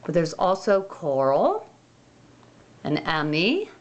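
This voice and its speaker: A woman narrates a toy unboxing at an unhurried pace. Her pitch swoops up and down in a sing-song, gentle way. Faint noise and the crinkle of packaging sit behind her. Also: huge pitch swings, lo-fi sound. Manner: slow, gentle, sing-song show-and-tell.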